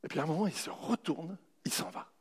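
A man giving a humorous, anecdotal motivational talk, telling his story with dramatic pauses. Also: a little high, breathy edge. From raised bilingual French-German, in a French-German family. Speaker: motivational speaker. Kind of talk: anecdotal public talk to an audience.

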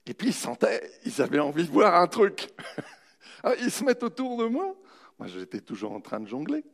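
A man giving a humorous, anecdotal motivational talk, telling his story with dramatic pauses. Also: high-pitched, huge pitch swings, gravelly. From raised bilingual French-German, in a French-German family. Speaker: motivational speaker. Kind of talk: anecdotal public talk to an audience.

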